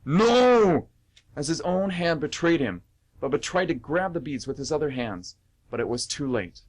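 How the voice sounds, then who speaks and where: A man's voice: mid-range voice, animated, moderate pace. A man reading dramatic story narration as the narrator of an amateur radio play.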